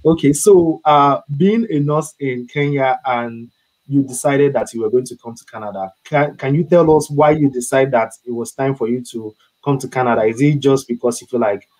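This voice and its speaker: A man hosting an online interview, speaking haltingly with frequent fillers and repeated words. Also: mid-range voice, darkish tone, rough recording. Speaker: host.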